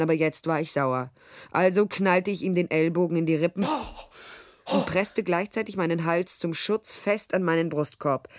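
A woman's voice: fairly low pitch, close to an everyday voice. Actress as a dry, hard-boiled female private eye. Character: tough sardonic female private detective narrating her case. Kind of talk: radio drama dialogue and first-person narration.

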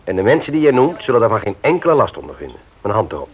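An earnest company secretary in a radio drama. The voice is fairly low and lively in pitch, with polite, reassuring phrasing and a muffled vintage sound. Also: male voice, rapid-fire, slightly rough. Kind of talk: Dutch radio play acting.

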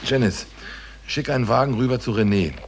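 An actor playing a weary, gruff veteran male detective in a German radio play, laconic and matter-of-fact. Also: mid-range voice, brisk, a little hiss or hum, lightly acted. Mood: alert, businesslike.

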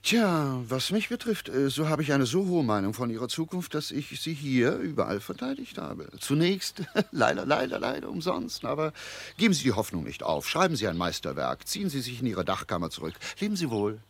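An actor in a German radio drama playing an evasive, condescending society gentleman who hems, haws and chuckles patronisingly. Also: medium pitch, sing-song, a little fast, creaky, husky, heavily acted. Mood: patronising advice. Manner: hemming and hawing, chuckling, patronising.

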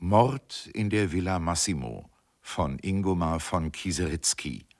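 A man's voice: deep voice, animated, a little slow. A man announcing radio drama credits in a scripted, formal reading.

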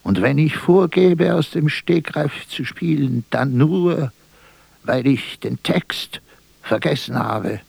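An actor playing a melancholy, self-doubting stage player in a radio drama, speaking in a declamatory, poetic way that moves between murmur and outcry. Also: male voice, fairly high pitch, slow pace, creaky, darkish tone, slightly rough, no echo, exaggerated voice. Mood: bitter, agitated. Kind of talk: theatrical radio drama monologue.

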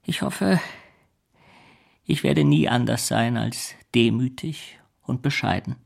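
A scheming clerk with a creaky, husky male voice. He oozes false humility and servile gratitude, gushing obsequiously in the manner of literary radio drama. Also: medium pitch, animated, slow pace, exaggerated voice. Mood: sly false humility.

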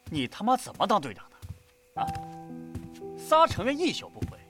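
A man's voice: sits high, wildly swooping pitch, normal speed, music in the background. A man playing a furious, foul-mouthed boy-band manager who berates his members sarcastically in an audio drama. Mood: furious, scolding.

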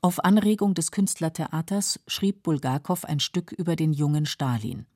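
Low, husky woman narrator. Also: normal speed, mellow, no room sound, studio quality. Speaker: documentary narrator. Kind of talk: scripted biographical narration. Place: dry studio.

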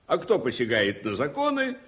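An actor playing a wealthy, self-assured older gentleman uncle in a radio play, with a theatrical, condescending manner. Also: medium pitch, huge pitch swings, normal speed. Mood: sardonic. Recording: dull muffled 1981 radio recording.

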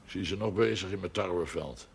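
A man's voice: sits low, fairly quick, vocal fry, husky, full character voice. A man playing a proud, hot-headed farmer with a folksy, roundabout, emphatic way of talking in a period television drama. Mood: bitter, recounting. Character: proud, hot-headed farmer with seven sons, scheming neighbour. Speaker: actor in a television drama series. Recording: noisy old television soundtrack.

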